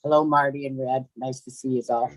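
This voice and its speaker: A man's voice: mid-range voice, level tone, a little fast, dry recording, poor audio. A man giving an earnest, preachy testimonial on a video call, repeating his phrases. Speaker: webinar participant. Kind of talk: faith-filled testimonial.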